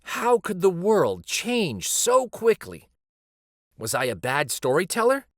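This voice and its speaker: A man voicing a wistful, gentle old street storyteller from a children's picture book. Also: sits high, huge pitch swings, takes it slowly, no echo, clean studio sound, pitched above the performer's own voice, exaggerated voice. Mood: hurt, bewildered. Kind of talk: picture book read aloud.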